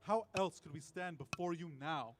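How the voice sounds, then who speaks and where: A man playing a wry, lofty embodiment of death in a radio drama, making grand, measured pronouncements with sardonic jabs. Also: on the high side, natural voice. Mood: solemn musing. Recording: voice under prominent music.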